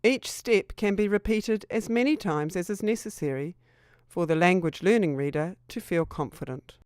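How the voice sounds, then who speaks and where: A woman's voice: on the low side, moderate pace, darkish tone, clear, resonant tone, no echo, clean studio sound. A woman reading a scripted instructional narration for a training video.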